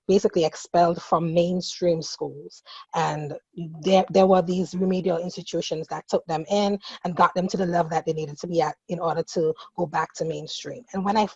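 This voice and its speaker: A woman graduate student on a panel, telling her path into education with passion, turning quieter and heavier as she speaks of loss. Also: fairly low pitch, breathy edge, dry recording. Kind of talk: earnest personal account. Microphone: video call. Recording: dull lo-fi video call audio.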